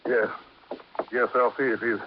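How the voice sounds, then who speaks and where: An actor playing an earnest, caring small-town doctor in a 1950s radio drama, speaking somewhat hesitantly. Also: male voice, mid-range voice, level tone, a little slow, close to an everyday voice. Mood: surprised, urgent. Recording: muffled, noisy old radio recording.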